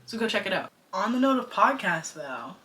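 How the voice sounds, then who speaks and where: A woman's voice: mid-range voice, sing-song, moderate pace, sharp tone, a little hiss or hum, poor audio. A young woman, chatting casually and animatedly in a vlog, slangy and joking about herself. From United States. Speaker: vlogger and podcaster.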